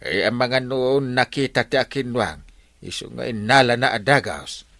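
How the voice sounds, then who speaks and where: A performer acting an excitable, exasperated village captain in a comedy radio drama, full of exclamations. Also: medium pitch, moderate pace, dry recording, clean studio sound, natural voice. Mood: incredulous.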